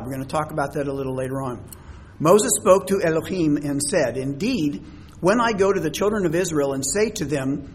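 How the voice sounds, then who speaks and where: A man preaching a sermon in a conversational teaching style. He pauses often and repeats words, and says his voice is already tiring. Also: a little high, a little hiss or hum. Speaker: pastor.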